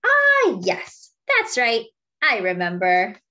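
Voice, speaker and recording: A woman's voice: a little high, wildly swooping pitch, slow, sharp tone, muffled recording, full character voice. A woman voicing a big, forgetful, gullible male elephant for a children's picture book, exclaiming and then hesitantly agreeing. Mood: befuddled, agreeing.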